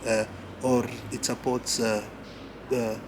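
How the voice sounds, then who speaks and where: A man's voice: mid-range voice, level tone, takes it slowly. Laid-back Kenyan man, noisy cafe. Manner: laid-back, repeats words, lots of "yeah". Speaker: guest teaching slang. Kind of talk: casual chat. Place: cafe at night.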